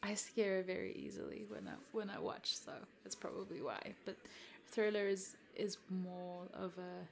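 A woman in her twenties from South Africa, whose first language is Afrikaans, chats softly to a follower, giggling and hesitating, with whispered asides. Her voice has a gravelly fry, and she takes her time. A faint hiss sits under the recording. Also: mid-range voice, level tone, unhurried, few pauses. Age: 20s. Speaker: content creator addressing a follower. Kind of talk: roleplay chat to the listener.

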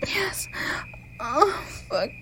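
A woman's voice: high-pitched, very animated, drawn-out words, bright, forceful tone, a little hiss or hum, full character voice. A woman playing a sadistic, possessive killer in explicit erotic audio roleplay, whispering breathily as she taunts and commands her captive lover. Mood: possessive, aroused.

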